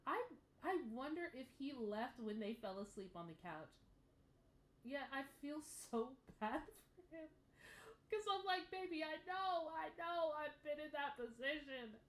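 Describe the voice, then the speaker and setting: A woman's voice: sits high, expressive, fairly bright tone. A woman giving casual, emotive and exasperated commentary as host of a reaction series. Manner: emotive, exasperated, drawn-out delivery. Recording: echoey room.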